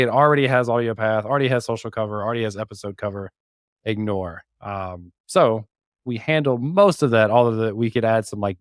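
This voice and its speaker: A man's voice: medium pitch, no echo, clean studio sound. A man giving a casual, informal technical walkthrough in an explanatory way. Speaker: podcast co-host and developer demonstrating a project.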